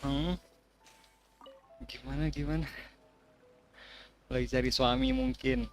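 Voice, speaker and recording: A man from Indonesia comments on a game as a streamer. His voice is a bit high, his manner offhand and slangy. Music plays in the background. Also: sing-song, a little slow. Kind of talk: casual gameplay commentary. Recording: music behind the voice.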